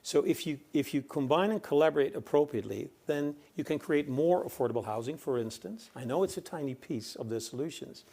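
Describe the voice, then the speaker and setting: A man's voice: a little high, expressive, a little fast, hardly any pauses, warm tone. A man from the Netherlands with a Dutch accent, speaking earnestly as a panelist. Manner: earnest, emphatic repetition. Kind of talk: conference panel discussion.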